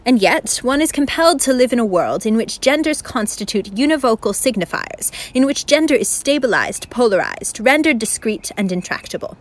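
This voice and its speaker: A woman's voice: a little high, expressive, normal speed, hardly any pauses, natural voice. A woman playing a learned narrator in a radio play, reading scripted narration in a formal, lecturing manner. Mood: formal, didactic. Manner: formal, didactic. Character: learned, lecturing female narrator who interrupts the scene.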